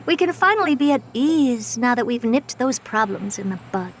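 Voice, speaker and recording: A woman's voice: fairly high pitch, animated, normal speed, very noisy. An actress playing a haughty, sardonic genius woman scientist in a video game, condescending, theatrical and commanding. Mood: smug, teasing. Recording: long hall reverb with music behind.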